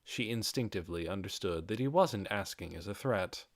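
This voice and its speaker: Lowish-voiced man narrating fantasy fiction. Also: lively intonation, moderate pace, dry recording, clean studio sound. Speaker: audiobook narrator. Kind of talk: scripted dramatic fantasy narration.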